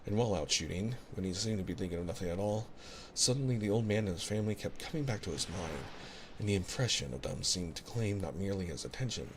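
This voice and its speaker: A man reading a literary bedtime story in a measured, soothing ASMR style, close to a binaural microphone. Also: deep voice, animated. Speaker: audiobook-style reader, ASMR host. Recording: noisy ambience of recorded ocean waves. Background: ocean sounds.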